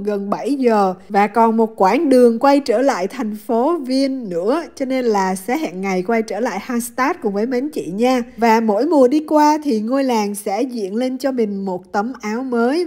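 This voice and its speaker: A woman's voice: on the high side, warm tone. A woman with a southern Vietnamese accent narrating a casual travel vlog in a chatty, affectionate way to her viewers. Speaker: travel vlogger.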